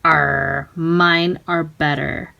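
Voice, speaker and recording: A blustery pirate man, acted by a woman storyteller, speaks slowly with a growly, drawn-out swagger. He is quarrelsome yet friendly, straight out of a picture book. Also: deep voice, fairly even intonation, vocal fry, sharp tone, highs cut off, pitched below the performer's own voice, heavily acted. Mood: blustering. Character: blustery male pirate captain and crewmate, quarrelsome but friendly.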